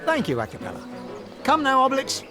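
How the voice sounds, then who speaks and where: Clever little gaulish warrior for a cartoon game, high lively voice, polite, takes charge. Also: male voice, fast talker, over music, exaggerated voice. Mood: polite, resolute. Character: shrewd, confident little male Gaulish warrior hero. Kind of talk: animated cartoon game voice acting.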